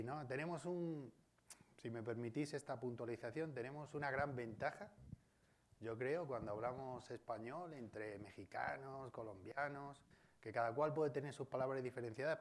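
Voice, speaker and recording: A man's voice: medium pitch, normal speed. Man, Spain Spanish accent, academic lecture, auditorium, discursive, tag questions. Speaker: guest speaker. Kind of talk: academic colloquium talk. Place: university auditorium.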